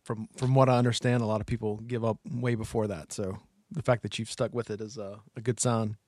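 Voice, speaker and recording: A man's voice: lowish voice, flat delivery, brisk, darkish tone, no echo. A man hosting a podcast, asking his guest questions in a conversational style. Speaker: podcast host, interviewer. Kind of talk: conversational interviewing.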